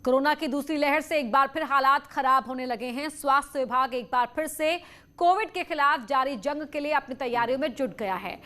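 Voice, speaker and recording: A woman's voice: high voice, brisk, bright, forceful tone, faint music behind. A woman news presenter reading a scripted bulletin in a formal, emphatic newsreader manner.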